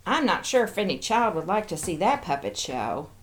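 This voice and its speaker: A woman's voice: lowish voice, very animated, normal speed, slight background noise, low-quality recording. A woman hosting a casual show-and-tell, chatting playfully and cooing as if to little toys and viewers. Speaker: host of a toy show-and-tell video.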